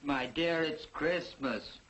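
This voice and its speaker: An actor playing a gentle, cheerful poor clerk and family man in a 1940s television drama, earnest and expressive. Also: a little high, takes it slowly. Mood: gently insistent. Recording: noisy old 1940s TV soundtrack.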